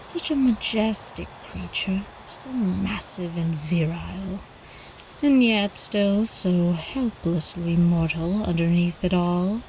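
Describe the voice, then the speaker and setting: A woman playing a predatory older vampire countess in an ASMR roleplay, haughty, teasing and possessive, with breathy drawling speech that often drops into whispers. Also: fairly low pitch, slow pace, a little mellow, a little hiss or hum, low-quality recording. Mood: haughty, amused. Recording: faint night insect ambience behind.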